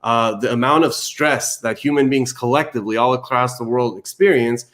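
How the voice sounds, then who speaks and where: A man's voice: mid-range voice, normal speed, a bit bright. Reassuring male family therapist from Iran. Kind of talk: empathetic advice to a caller.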